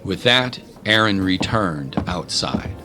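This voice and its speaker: A male storyteller with a lowish voice and lively, swooping intonation. He delivers scripted drama slowly over loud music. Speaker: narrator.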